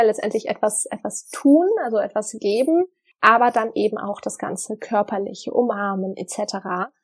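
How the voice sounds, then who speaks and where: A woman with a slightly high voice talks warmly and directly to her audience about relationships. She is earnest and reflective and often stops on little fillers before she goes on. It sounds like a casual video diary. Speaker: vlogger giving relationship advice. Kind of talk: casual direct-to-camera advice talk.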